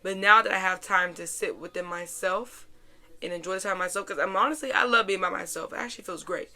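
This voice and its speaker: Casual female vlog host, young adult, mid-pitched and bright with a slightly worn rasp, informal and chatty. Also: fairly quick. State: hoarse after a weekend out. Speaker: podcast host.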